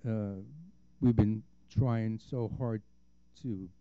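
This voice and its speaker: A man giving a public comment at a council meeting, speaking haltingly with pauses and fillers. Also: on the low side, steady tone, clear, resonant tone, no echo, muffled recording. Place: city council chamber.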